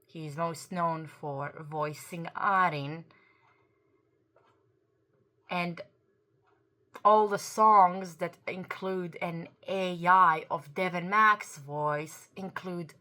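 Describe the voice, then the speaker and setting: A woman whose first language is Croatian talks through her opinion in an unhurried, deliberate way. Her voice is bright and forward, and she sounds earnest as she hunts for the right words and corrects herself. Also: lowish voice. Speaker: YouTube commentator. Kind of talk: opinion commentary to camera.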